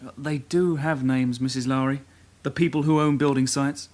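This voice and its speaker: An actor playing a patient, polite but persistent police detective constable in a radio drama. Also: male voice, medium pitch, steady tone, normal speed, darkish tone, natural voice. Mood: polite, probing. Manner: polite, persistent, hesitant lead-ins.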